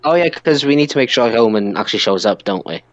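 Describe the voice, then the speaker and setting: A man's voice: medium pitch, expressive, fast, dry recording, muffled recording. A man co-hosting a podcast in a casual, chatty style about pro wrestling. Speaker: podcast co-host.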